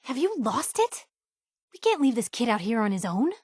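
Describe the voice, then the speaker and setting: A woman's voice: on the high side, very animated, moderate pace, darkish tone, husky, dry recording, studio quality. An actress voicing a plucky, principled young woman reporter, a video game companion with sassy, earnest, slangy banter. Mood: indignant.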